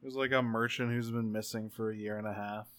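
A man playing a tabletop game, improvising his narration haltingly and searching for words as he goes. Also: lowish voice, little pitch movement, fairly bright tone.

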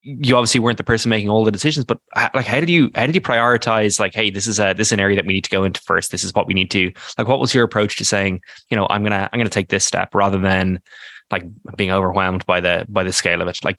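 A man hosting a podcast talks quickly and casually, restarting his sentences and filling gaps with "like." His voice sits a little low but has a bright, forceful edge. The recording is close and dry. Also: steady tone, no echo. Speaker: podcast host. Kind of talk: casual interview talk.

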